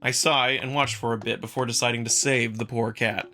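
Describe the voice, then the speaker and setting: A man's voice: mid-range voice, fairly even intonation, brisk, sharp tone, faint music behind. A man narrating a visual novel in the first person, reading from a script. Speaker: narrator and performer.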